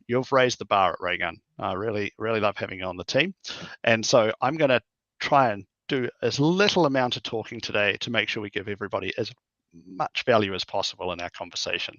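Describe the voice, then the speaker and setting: An enthusiastic man hosting a casual podcast, talking in a chatty way full of fillers. His voice is sharp and bright, recorded dry and close, with slightly dull, lo-fi audio. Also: lowish voice, no echo. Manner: upbeat, enthusiastic, warm praise with many fillers. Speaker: podcast host. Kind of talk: casual interview chat.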